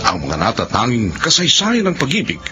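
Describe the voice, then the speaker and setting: A man's voice: mid-range voice, wildly swooping pitch, a little fast. Sweeping, dramatic male radio announcer. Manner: dramatic, formal announcer delivery. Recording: dull lo-fi broadcast with music.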